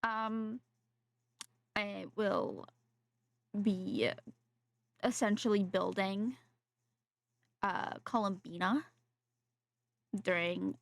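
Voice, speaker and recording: A woman game streamer chatting casually to viewers, haltingly thinking aloud and repeating her phrases. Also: medium pitch, lively intonation, creaky, no echo. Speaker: game livestreamer. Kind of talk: casual livestream chat.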